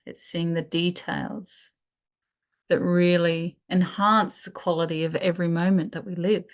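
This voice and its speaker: A woman leading a guided meditation, speaking in a soothing, unhurried way with pauses between phrases. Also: on the low side, little pitch movement.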